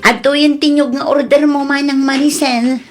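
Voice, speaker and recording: A woman's voice: high-pitched, little pitch movement, moderate pace, over music, close to an everyday voice. A woman playing a nosy, sceptical younger neighbour in an Ilocano comedy radio drama, with an Ilocano Filipino accent. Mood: chatty.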